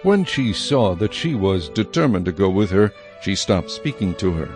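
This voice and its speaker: Low-voiced man narrating scripture. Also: big pitch swings, moderate pace, darkish tone, faint music behind.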